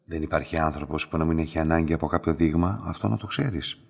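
An actor playing a calm, enigmatic middle-aged laboratory master in a radio drama, measured and quietly insistent, with a husky voice. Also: male voice, heavily acted. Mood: probing, insistent. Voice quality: deep, husky. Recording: long hall-like reverberation.

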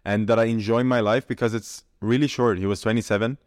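Animated young man with a low, smooth voice. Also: fast, no echo, clean studio sound. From Syria. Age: 20s. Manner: animated storyteller, laughs often. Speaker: podcast guest, mindset coach. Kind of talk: casual interview chat.

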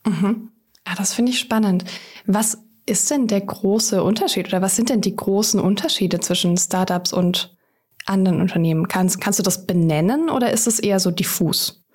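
A woman's voice: mid-range voice, normal speed, darkish tone, breathy edge. A woman hosting a podcast interview, upbeat and full of praise, her voice a little worn from being under the weather. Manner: upbeat, effusive introductions. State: slightly under the weather, voice strained. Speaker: podcast host.

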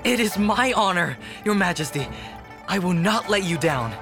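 An actor playing a passionate, deferential seventeen-year-old court painter in a radio drama, speaking earnestly. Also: male voice, high voice, expressive, normal speed. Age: young adult. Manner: earnest, respectful. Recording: music behind the voice.

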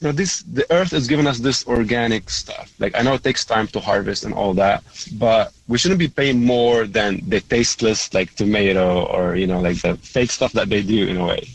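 A man's voice: fairly low pitch, moderate pace, poor audio. A man whose first language is Arabic, calling in to a radio show and chatting casually, rambling with many fillers and frequent laughs. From Lebanon. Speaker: caller. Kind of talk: casual radio call-in chat.

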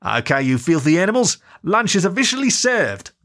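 A man voicing a sturdy, caring female kangaroo friend, brisk and friendly. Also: high-pitched, very animated, fairly bright tone, pitched above the performer's own voice, exaggerated voice.

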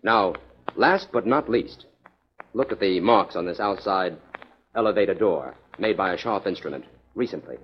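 An actor playing a confident, methodical amateur detective in a 1940s radio drama, explaining with dry irony. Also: male voice, deep voice, lively intonation, moderate pace, slight background noise. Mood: confident, insistent. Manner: assured, explanatory, dryly ironic. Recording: dull, lo-fi 1940s radio recording.

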